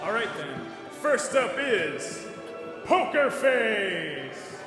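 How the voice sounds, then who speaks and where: An actor playing a hyped-up school assembly announcer, showy and drawn-out, in a scripted audio drama. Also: male voice, high-pitched, big pitch swings, exaggerated voice. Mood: showy, excited. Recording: echoey assembly hall, music.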